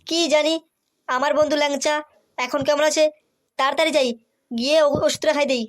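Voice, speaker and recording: A woman's voice: sits high, steady tone, a little fast, natural voice. A woman voicing an eager, urgent young boy in a comic cartoon. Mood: anxious, eager. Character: eager young boy fetching help for friends.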